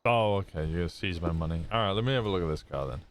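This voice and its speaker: Brash, blustering man pitching a scheme. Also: racing, a crowd in the background. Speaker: roleplay character pitching a scheme. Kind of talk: in-character roleplay chat.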